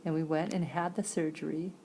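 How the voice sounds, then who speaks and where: Woman, lowish gravelly voice, conversational personal storytelling in halting phrases, faint background noise. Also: fairly even intonation, mellow, low-quality recording. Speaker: sign-to-voice interpreter.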